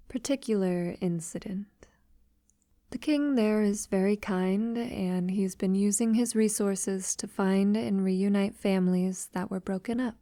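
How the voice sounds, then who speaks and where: A woman playing a centuries-old, wealthy vampire lover in an intimate ASMR audio roleplay, soft-spoken and half-whispering with hesitant pauses. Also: mid-range voice, no echo, studio quality, lightly acted.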